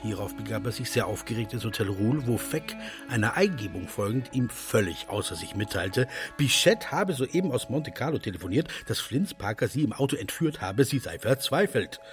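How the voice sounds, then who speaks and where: A man's voice: mid-range voice, sing-song, moderate pace, few pauses, over music. A man reading scripted literary narration as the narrator of a radio drama.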